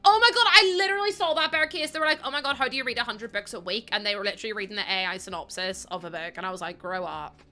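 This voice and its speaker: A woman's voice: mid-range voice, racing, faint music behind. A woman livestreaming, chatting casually with her viewers and laughing often. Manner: chatty, laughs often, greets chat warmly. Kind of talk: casual chat with stream viewers.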